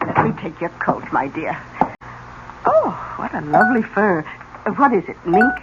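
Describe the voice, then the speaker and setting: An actress in an old-time radio drama playing an effusive, doting older aunt who talks in a gushing, chatty way. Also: female voice, medium pitch, sing-song, moderate pace, lightly acted. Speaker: radio actor. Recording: dull, noisy old radio recording.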